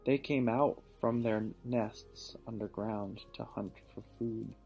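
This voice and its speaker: A man reads a children's story aloud at an unhurried pace in a soft-edged, mellow voice. He is patient and encouraging, stopping to explain or ask a question. Music plays behind him. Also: on the low side, fairly even intonation, gravelly. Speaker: father reading a picture book aloud to a child. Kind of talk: storybook read-aloud with teaching asides.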